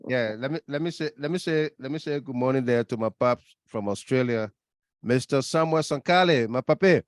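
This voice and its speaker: A man works as host of a live call-in talk show. He speaks casually in a medium-pitched voice, greeting and directing listeners. The sound is dry, with little room to it. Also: moderate pace, dry recording.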